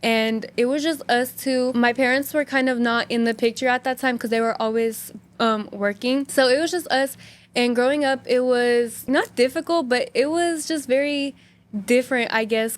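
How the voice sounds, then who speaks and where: A woman's voice: on the high side, steady tone, moderate pace. A young American woman whose first language is Hindi, chatting as a podcast guest and filling her talk with "like". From United States. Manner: chatty, many "like" fillers. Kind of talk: casual interview chat.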